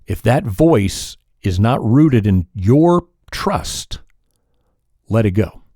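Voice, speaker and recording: Male podcast host, lowish mellow voice, dry close sound, calm reflective delivery. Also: no echo. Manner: calm and measured, with pauses and self-corrections. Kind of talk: reflective solo podcast monologue.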